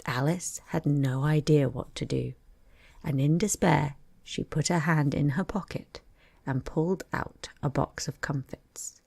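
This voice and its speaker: Female bedtime storyteller, low register, husky and creaky, scripted narration. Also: measured pace, darkish tone, dry recording.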